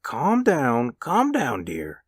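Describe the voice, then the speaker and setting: A man voicing a gentle, earnest, slow-witted elderly husband in a story. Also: on the high side, wildly swooping pitch, breathy edge, no room sound, clean studio sound, voice pushed up, full character voice. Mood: hasty reassurance. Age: older.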